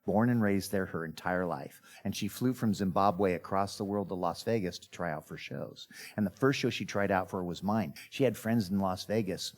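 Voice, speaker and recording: A man's voice: low-pitched, normal speed, hardly any pauses. A middle-aged man, a magician guest, casually telling his life story in an interview and acting out bits of dialogue. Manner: animated storyteller who acts out quoted dialogue.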